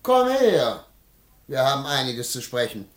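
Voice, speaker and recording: An actor playing a greedy, jealous husband in a theatrical radio comedy, blustering and wheedling by turns. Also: male voice, mid-range voice, sing-song, a little slow. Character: greedy, jealous, scheming husband, volatile and self-deceiving. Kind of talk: theatrical German classic radio comedy acting.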